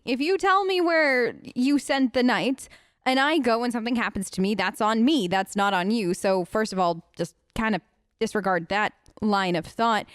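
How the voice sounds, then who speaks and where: A woman's voice: high voice, no room sound, clean studio sound. A woman hosting a role-play video game let's play, chatty and skeptical, improvising casual replies in character. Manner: skeptical, chatty, playfully questioning. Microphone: close, dry microphone.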